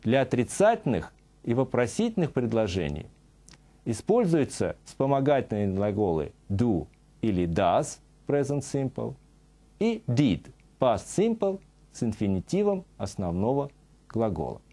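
A middle-aged man teaching on a TV studio set, reading a scripted lesson and saying example sentences slowly and carefully. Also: mid-range voice, big pitch swings, dark tone. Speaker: English teacher.

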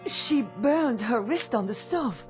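Robot housemaid, polite, deferential, animated intonation, radio drama with faint music. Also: female voice, a little high, slow pace. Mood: anxious, deferential. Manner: polite, servile.

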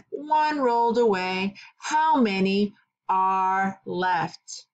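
A middle-aged woman, a kindergarten teacher, reading a story aloud and teaching counting to young children in simple, deliberate phrases with questions. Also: mid-range voice, dull audio, slight background noise.

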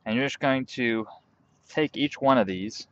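A young man outdoors in a field, calmly walking through a hands-on tutorial step by step while he works with his hands. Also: mid-range voice, a little slow, dull audio. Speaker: bushcraft instructor.

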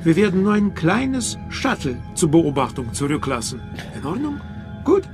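A man playing a brisk, sceptical spaceship lieutenant in a radio drama, with clipped questions and orders. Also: fairly high pitch, sing-song, over music. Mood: sceptical, placating.

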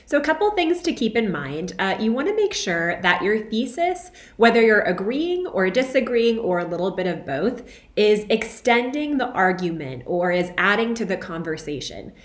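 Hoarse young woman teacher, chatty webcam feedback, echoey room. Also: medium pitch, big pitch swings, moderate pace. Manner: conversational, frequent "right?" and "um" fillers. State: hoarse from being sick. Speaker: instructor. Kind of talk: recorded lecture feedback to students. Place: small room at home, indoors. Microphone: webcam, video call framing.

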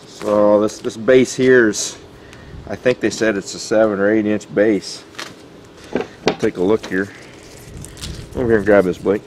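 A man's voice: lowish voice, steady tone, a little slow, long pauses, darkish tone, slight background noise. A man working as a taxidermist, talking casually with many fillers as he walks through an outdoor job. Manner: plainspoken, filler-heavy, thinking aloud. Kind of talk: casual on-camera walkthrough. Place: outdoors on concrete by a building.

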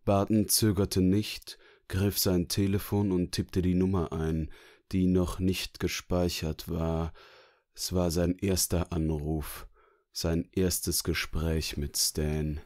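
A man's voice: low voice, flat delivery, slow, a little mellow. A man reading scripted narration from a novel. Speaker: audiobook narrator.

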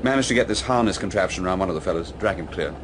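A man playing a British army lieutenant in a radio play, low in pitch and courteous. He speaks in a matter-of-fact military way, over noisy outdoor vehicle ambience. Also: very fast, close to an everyday voice. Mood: grave, factual. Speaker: actor in a radio drama. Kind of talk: British radio drama acting.

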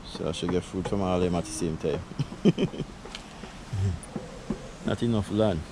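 A man's voice: deep voice, measured pace, background noise. A middle-aged man from Saint Vincent with a Vincentian accent, chatting casually as he shows his backyard garden, laughing often. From Saint Vincent and the Grenadines. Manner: relaxed, chatty, laughs often, creole phrasing. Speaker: vlogger giving a garden tour. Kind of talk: casual chat.

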